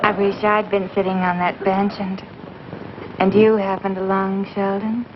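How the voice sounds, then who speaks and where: An actress playing a seductive, scheming gangster's companion in a coaxing, melodramatic 1950s television comedy manner. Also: female voice, mid-range voice, flat delivery, normal speed, natural voice. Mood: coaxing, lovelorn. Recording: dull, noisy old soundtrack, audience behind.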